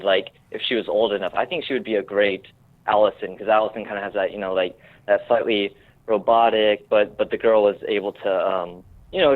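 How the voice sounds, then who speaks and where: Male screenwriter guest, low voice, thin phone-quality audio, halting self-deprecating delivery, casual interview chat. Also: no room sound. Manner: informal, self-deprecating, halting and searching for words.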